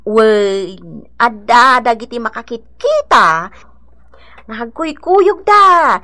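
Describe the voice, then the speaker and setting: A woman's voice: on the high side, lively intonation, takes it slowly, bright, forceful tone, full character voice. A woman playing a feisty, defiant village gossip with an Ilocano accent in a radio drama, scoffing and exclaiming theatrically. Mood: gleeful, mocking.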